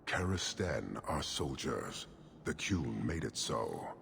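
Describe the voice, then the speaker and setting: A man playing a stern, contemptuous giant horned warrior commander in a video game, speaking in measured, formal pronouncements with a gravelly voice. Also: rumbling low, sing-song, fairly bright tone, slight background noise, full character voice. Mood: grave contempt. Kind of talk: video game cutscene acting.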